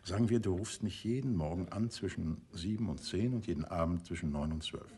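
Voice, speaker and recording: A man's voice: sits low, a little fast, dark tone, natural voice. An actor playing a composed, courteous male detective in a radio crime drama. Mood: relaxed.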